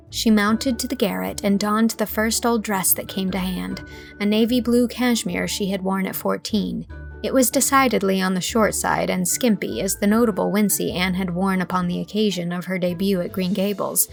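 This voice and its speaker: A woman narrating a literary audiobook, telling the story in a measured, expressive way from a script. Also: medium pitch, vocal fry.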